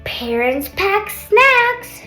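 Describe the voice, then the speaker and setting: A young girl reading a picture book aloud with chatty asides, in an expressive sing-song manner. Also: very high voice, drawn-out words. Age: child, older sister of a kindergartner. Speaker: storybook reader. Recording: roomy echo, faint background music.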